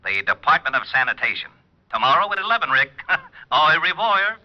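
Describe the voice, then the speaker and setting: An actor playing a dryly teasing police lieutenant over the telephone in an old-time radio drama. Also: male voice, fairly high pitch, very animated, fairly quick. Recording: dull vintage radio recording.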